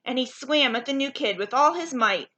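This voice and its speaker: A woman reads a children's story aloud in a high, bright voice. She is very animated, taking her time and checking in with questions for the listeners. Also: normal speed, slight background noise.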